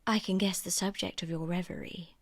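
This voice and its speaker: A woman's voice: lowish voice, fairly even intonation, a little fast, no echo, close to an everyday voice. Woman putting on a haughty, snide society lady. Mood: disdainful, bored. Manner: affected, disdainful, arch. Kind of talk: audiobook character acting.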